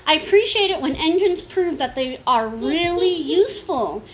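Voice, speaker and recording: A woman's voice: high-pitched, lively intonation, moderate pace, slight background noise, rough recording. Woman reading as a formal railway boss. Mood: approving. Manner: formal, instructive. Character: authoritative, appreciative male railway controller.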